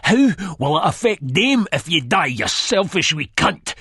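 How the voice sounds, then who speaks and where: A man's voice: on the high side, big pitch swings, unhurried, bright, forceful tone, breathy edge, dry recording, voice pushed up, heavily acted. A man playing a violent, menacing Scottish hard man in an audiobook, strained and spitting, swearing with explosive aggression. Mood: angry accusation. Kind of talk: dramatised audiobook acting.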